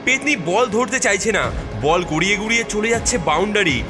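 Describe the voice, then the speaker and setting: A man's voice: high voice, animated, brisk, over music. A man narrating a children's cartoon story in a dramatic storytelling manner.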